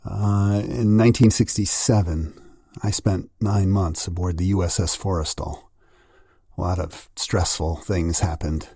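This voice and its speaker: An older American man playing a shaken Navy veteran in an acted interview, speaking in a halting, reflective way. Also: sits low, darkish tone, no room sound, clean studio sound. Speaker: voice actor in a training simulation. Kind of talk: acted clinical interview testimony.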